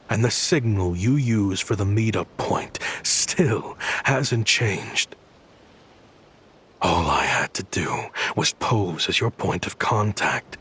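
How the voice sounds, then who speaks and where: An actor playing a grim, weary, remorseful guardian in a video game, speaking in a halting, brooding way. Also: male voice, low-pitched, a bit bright, slightly rough, full character voice. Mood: cold, menacing. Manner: slow, halting, brooding delivery. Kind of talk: dramatic video game voice acting.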